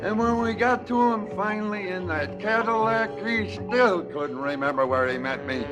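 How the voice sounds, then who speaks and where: An actor playing an embittered, maimed police chief, brooding and theatrical in a radio drama monologue. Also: male voice, high voice, lightly acted. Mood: weary, bitter. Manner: deliberate, brooding, theatrical storytelling. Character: embittered, maimed middle-aged police chief, coldly vengeful. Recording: music under the voice. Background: music.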